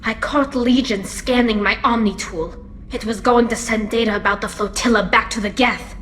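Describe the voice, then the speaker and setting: A woman voicing a bright, earnest young engineer. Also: a little high, moderate pace, slight background noise, close to an everyday voice. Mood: alarmed. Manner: earnest pleading speech. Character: young alien engineer woman, loyal and emotional. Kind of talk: video game voice acting.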